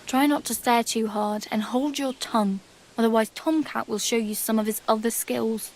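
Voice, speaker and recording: A higher-voiced village woman. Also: steady tone, normal speed. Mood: reassuring. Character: frank, teasing young village woman, helpful. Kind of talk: video game character dialogue.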